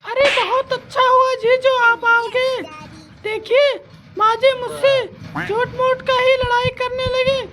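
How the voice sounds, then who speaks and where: A woman playing a sharp-tongued young daughter-in-law who argues back in a comic family sketch. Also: very high-pitched, flat delivery, unhurried. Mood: argumentative. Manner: defiant, argumentative. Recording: loud backing music.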